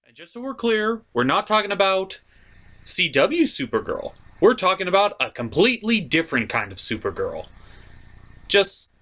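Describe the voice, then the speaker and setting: Animated, higher-pitched man hosting a comedy review. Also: normal speed. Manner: theatrical, playful. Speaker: host and reviewer. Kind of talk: comedic scripted cartoon review narration.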